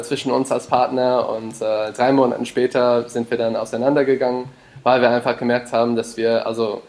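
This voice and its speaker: A man's voice: medium pitch, gravelly, darkish tone. Hesitant man. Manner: hesitant, many fillers and restarts, mixes in English words. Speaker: podcast guest, startup founder. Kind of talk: casual interview talk.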